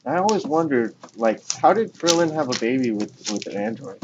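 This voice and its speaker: A man's voice: mid-range voice, lively intonation, brisk. A man chatting casually in an informal, slangy way. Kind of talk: casual chat. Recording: muffled recording with clear noise and clicks.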